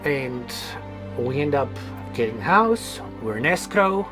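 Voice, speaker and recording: A man from Greece co-hosts a travel vlog, talking eagerly with a few halting fillers. His voice sits at a middle pitch. Music plays clearly under the talk. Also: very animated. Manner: enthusiastic, a bit halting with fillers. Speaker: vlog co-host. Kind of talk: casual chat to camera.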